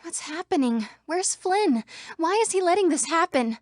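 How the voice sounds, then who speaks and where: A young woman voicing an earnest female computer program citizen in a video game, with crisp, dramatic delivery. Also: very high-pitched, expressive, moderate pace, no echo, clean studio sound. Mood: anxious, pleading.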